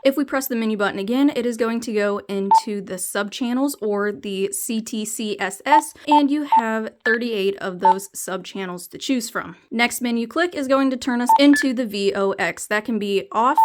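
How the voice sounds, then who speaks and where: A woman's voice: fairly high pitch, normal speed, few pauses, noisy background. A woman reviewing a product, explaining its features plainly, step by step. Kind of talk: explanatory product demo narration.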